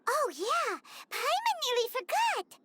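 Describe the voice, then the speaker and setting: A woman voicing a tiny, childlike fairy girl companion in a video game, with a squeaky voice and a chirpy, insistent manner. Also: sing-song, slow pace, exaggerated voice. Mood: chatty, earnest. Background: music.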